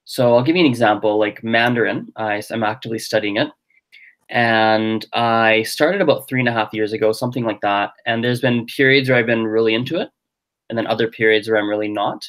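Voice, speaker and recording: A man's voice: on the low side, brisk, fairly bright tone, poor audio. A young adult man whose first language is Gujarati, chatting as a guest in a casual interview, thinking aloud with lots of fillers. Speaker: guest language teacher and podcaster.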